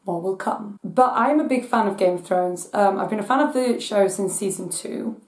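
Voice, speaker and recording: A woman hosting her own channel, hoarse from losing her voice, ranting in exasperation as her voice cracks high now and then. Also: moderate pace, echoey room. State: hoarse from voice loss. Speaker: channel host. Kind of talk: casual rant vlog.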